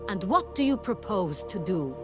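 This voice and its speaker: A woman's voice: mid-range voice, sing-song, moderate pace, music in the background, natural voice. A woman playing a proud, imperious duchess in a video game, formal and commanding in manner. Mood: stern.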